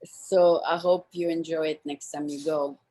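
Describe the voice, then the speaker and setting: A woman with a distinctly low-pitched voice hosts a show. She talks informally with a guest, in the loose style of a casual interview conversation. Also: flat delivery, moderate pace, dark tone, poor audio. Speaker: show host. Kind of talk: casual interview chat, wrapping up.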